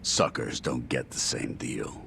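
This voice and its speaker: An actor playing a sly, crooked detective in a video game, wry and self-assured. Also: male voice, very deep voice, steady tone, unhurried, creaky, pushed and bright, slight background noise, full character voice. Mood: smug, sly. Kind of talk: video game cutscene dialogue.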